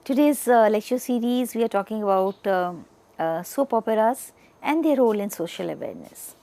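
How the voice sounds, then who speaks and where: Female lecturer, Indian accent, highish voice, strongly varied pitch, delivering a scripted lesson. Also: expressive, moderate pace, mellow, dry recording. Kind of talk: prepared educational lecture, partly read aloud.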